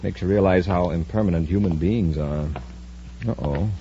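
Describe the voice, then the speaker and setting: A muffled, noisy old radio serial gives us a space patrol commander. His voice is low and relaxed, and he speaks with deliberate, watchful authority. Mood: calm, watchful. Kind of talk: 1950s radio adventure serial acting.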